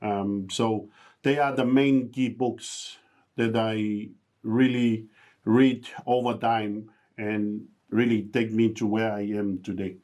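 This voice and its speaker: A man's voice: fairly low pitch, steady tone, slow, darkish tone. A middle-aged man from Tonga, speaking earnestly as a podcast guest and motivational speaker, his English grammar uneven. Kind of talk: podcast interview.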